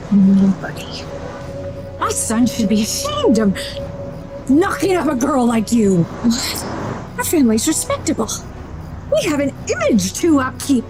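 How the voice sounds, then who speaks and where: A snobbish, menacing older matriarch in an audio drama. Her voice is fairly high and swings widely in pitch, and she speaks slowly, dripping with haughty disgust. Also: low-quality recording. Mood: cold contempt, then outraged. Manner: haughty, venomous, drawn-out delivery.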